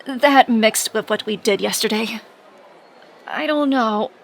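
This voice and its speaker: A woman's voice: a little high, slow, slight background noise. An actress playing a confiding young female superhero in a conversational audio drama. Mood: regretful.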